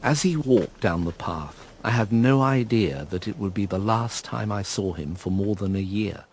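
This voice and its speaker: An actor playing a melancholy gentleman narrating his own past in a radio drama, measured, understated and reflective. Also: on the low side, slight background noise, natural voice. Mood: wistful, sombre. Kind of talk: first-person dramatic narration.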